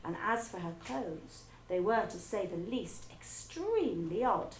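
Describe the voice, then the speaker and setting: A woman's voice: mid-range voice, unhurried, a little hiss or hum. Woman teacher reading a story aloud. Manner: expressive storytelling. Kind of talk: reading a novel aloud to children. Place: school room. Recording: echoey room with faint ambience.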